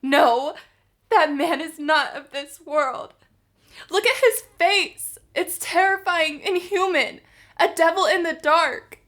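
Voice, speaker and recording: Horror manga dub: dying young female patient, terrified and tearful, extremely high-pitched, slow delivery. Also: a bit bright, full character voice. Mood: haunted dread. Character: terrified sick young female patient, pleading, fearing death.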